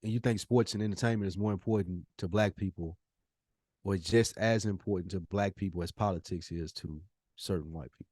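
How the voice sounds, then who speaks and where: A man's voice: low voice, brisk, a little mellow, no echo, clean studio sound. An American man co-hosting a podcast, talking casually with emphatic, slangy opinions. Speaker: podcast co-host.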